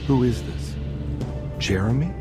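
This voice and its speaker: Casting: male actor as weary private eye in a horror game, sardonic, edgy, very animated pitch, music bed. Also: measured pace, natural voice. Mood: uneasy. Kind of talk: video game cutscene acting. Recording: background music under the voice.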